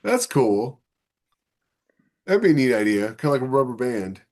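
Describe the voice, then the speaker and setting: A man's voice: mid-range voice, sing-song, normal speed, darkish tone. A man co-hosting a podcast, talking casually and thinking aloud as he discusses science fiction ideas. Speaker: podcast co-host.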